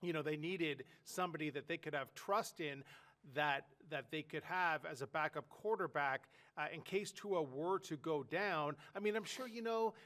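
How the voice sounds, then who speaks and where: A man with a higher-set voice talks sports in a loose, rambling way, full of "you know" and "uh" as he circles back on his points. A head cold leaves him sounding stuffed-up and nasal. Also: normal speed, a bit bright. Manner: rambling, lots of fillers and restarts. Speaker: sports podcast co-host. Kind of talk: casual sports talk.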